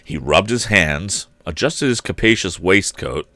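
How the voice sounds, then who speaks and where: A male storyteller reads a tale aloud in a low voice. His delivery is expressive and theatrical, leaning deliberately on phrases, and the sound is dry with little room in it. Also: dry recording. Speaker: storyteller, livestream host.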